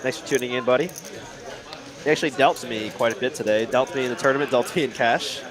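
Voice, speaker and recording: Young man, stream host, upbeat, mid pitch, noisy card room. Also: quick pace, a crowd in the background. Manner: upbeat, thanks viewers, reads chat aloud. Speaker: livestream host and poker commentator. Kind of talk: live poker stream commentary.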